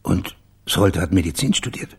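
An actor playing a blunt, dogged investigator in a radio crime drama, terse and matter-of-fact, with a husky, gravelly voice. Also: male voice, sits low, lively intonation, moderate pace, exaggerated voice. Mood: brisk reasoning. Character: dogged, blunt male investigator questioning witnesses and reasoning out a murder. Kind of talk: naturalistic German radio crime drama acting.

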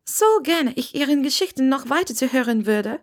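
A woman's voice: high voice, animated, normal speed, pitched above the performer's own voice. A woman voicing a polite, awkward young witch in a storybook reading, hesitant and evasive. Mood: polite, weary. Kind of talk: audiobook character dialogue.

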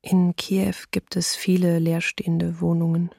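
An actress playing a weary wartime civilian in a documentary radio play, reading a diary in an understated manner. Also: female voice, on the low side, level tone, unhurried, gravelly, mellow, breathy edge, no echo, clean studio sound, heavily acted. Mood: weary, matter-of-fact.

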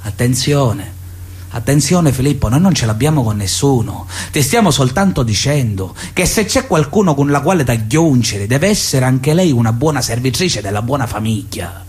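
An actor playing a pious, paternalistic Sicilian mafia elder, solemnly sermonizing with veiled menace in a radio drama letter. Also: male voice, medium pitch, expressive, a little mellow, natural voice. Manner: solemn, sermonizing, measured insistence. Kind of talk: theatrical radio drama monologue, letter read aloud.

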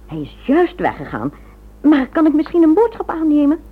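An actress playing a genteel, caring wife in a Dutch radio drama, polite and solicitous, with a husky voice. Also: female voice, high voice, wildly swooping pitch, normal speed. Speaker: actor in a radio play. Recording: thin, phone-like old radio recording.

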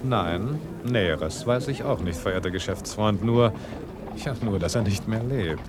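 Man playing gossiping businessman, lowish voice, confiding, vintage radio drama, noisy background. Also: unhurried, lightly acted. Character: discreet, gossiping male business merchant. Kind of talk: 1960s German radio drama acting. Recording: noisy 1960s radio recording, outdoor ambience.

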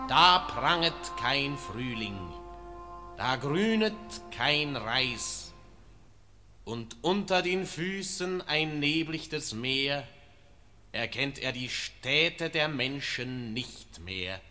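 An actor playing a bold alpine hunter, delivering verse in a ringing, declamatory manner in a classic radio drama. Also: male voice, fairly high pitch, expressive, slow, music in the background. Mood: bold, solemn.

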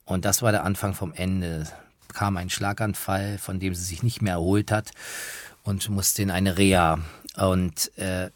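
A man speaking reflectively, with hesitant pauses, as he recalls memories for a radio documentary. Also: low-pitched, fairly even intonation. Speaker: interviewer recalling people he interviewed. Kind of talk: spoken reminiscence in a radio documentary. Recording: music behind the voice.

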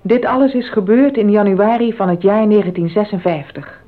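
A woman performing as the solemn, measured narrator of a radio drama. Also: medium pitch, lightly acted. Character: solemn narrator of a true tragedy. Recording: thin phone-like old recording.

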